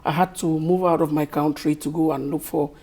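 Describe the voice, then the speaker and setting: A middle-aged man from Cameroon with a Cameroonian accent, answering interview questions slowly and thoughtfully, often searching for words. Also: on the high side, steady tone, a little mellow. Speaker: author guest.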